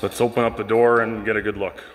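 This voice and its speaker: A man's voice: on the low side, level tone, fast talker, heavy background noise. A man giving casual hands-on video commentary, informal and rambling as he thinks aloud. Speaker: vlogger restoring old speakers.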